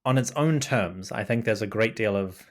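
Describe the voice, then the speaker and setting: Kiwi guy, really low voice, thinking out loud on a podcast call, lots of restarts. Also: moderate pace. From New Zealand. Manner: thoughtful, restarts often, lots of "you know". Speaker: podcast guest, Egyptology podcaster. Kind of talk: casual interview talk. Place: remote call.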